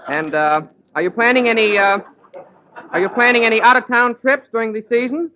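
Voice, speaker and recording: A man playing a burglar posing as a reporter in a 1940s live radio comedy, halting and full of pauses. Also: extremely high pitch, pushed and bright, a little hiss or hum. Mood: polite. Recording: dull vintage radio broadcast.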